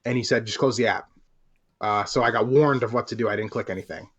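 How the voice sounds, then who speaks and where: A man's voice: medium pitch, fast talker, lo-fi sound. A man co-hosting a podcast in casual, slangy chat. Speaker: podcast co-host.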